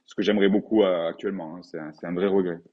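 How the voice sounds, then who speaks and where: Husky low-voiced man, hesitant. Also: steady tone, fast, dull audio. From France. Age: 40s. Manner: thoughtful, hesitant, many fillers and restarts. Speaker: guest, painter. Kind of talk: casual interview talk.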